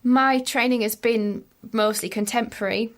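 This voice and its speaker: High-voiced woman vocal coach. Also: fairly even intonation, normal speed. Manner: explains patiently, hedging with examples. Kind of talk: conversational podcast interview.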